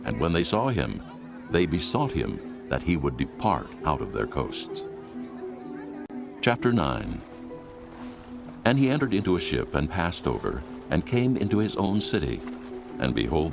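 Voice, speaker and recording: Very deep-voiced, dramatic male narrator. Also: sing-song, normal speed, gravelly, over music. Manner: dramatic, solemn storyteller. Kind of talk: scripted dramatized Bible narration.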